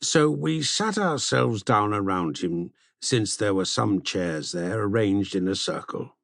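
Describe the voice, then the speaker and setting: A man narrating a literary audiobook in an expressive storytelling manner, with a gravelly, husky voice. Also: lowish voice, a little slow, dry recording, clean studio sound. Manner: expressive, storytelling delivery with shifts for dialogue. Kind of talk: scripted literary narration with character dialogue.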